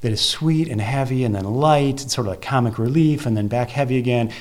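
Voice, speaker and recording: A man's voice: medium pitch, brisk. A man speaking as an interview guest in a conversational, explanatory way, thinking aloud as he goes. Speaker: interview guest, theatre festival curator and producer.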